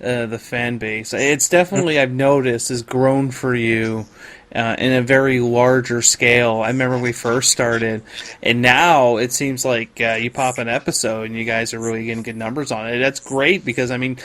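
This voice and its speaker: A man's voice: medium pitch, fairly even intonation, normal speed, fairly bright tone. A man hosting a podcast in casual chat, rambling and often interrupting himself. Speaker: podcast host.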